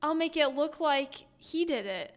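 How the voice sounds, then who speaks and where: Woman doing a sneaky guy plotting a frame-up, high voice, slow and sly. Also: expressive. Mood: scheming. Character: scheming man plotting to frame someone.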